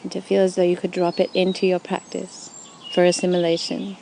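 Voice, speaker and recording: A woman guiding a yoga nidra meditation in a soothing, unhurried way with gentle, deliberate phrasing, recorded outdoors. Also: fairly low pitch, completely flat delivery, gravelly, a little hiss or hum. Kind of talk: guided meditation. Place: outdoors in a forest at dusk. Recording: outdoor recording with faint birdsong. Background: birdsong and forest ambience.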